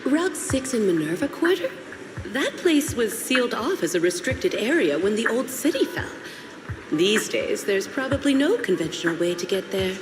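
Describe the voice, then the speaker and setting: A woman playing a courteous, helpful flower shopkeeper in a video game, speaking in a polite, gentle, explanatory way. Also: mid-range voice, very animated, normal speed, background noise, natural voice. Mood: polite, cautious. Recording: roomy sound with clear music behind.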